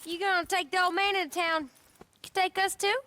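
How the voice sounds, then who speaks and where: A woman's voice: very high-pitched, steady tone, fairly quick, sharp tone, slight background noise. An actress playing a sassy young outlaw woman in a Western game, brash and goading. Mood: teasing. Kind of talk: Western video game acting.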